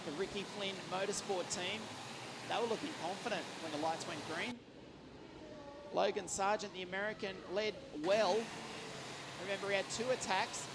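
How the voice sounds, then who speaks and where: Male karting commentator, high-pitched, enthusiastic, calling results live over loud kart engines. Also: fairly even intonation, normal speed, very noisy. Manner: enthusiastic, rattles off names and results. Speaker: race commentator. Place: trackside at a karting circuit in southern Italy.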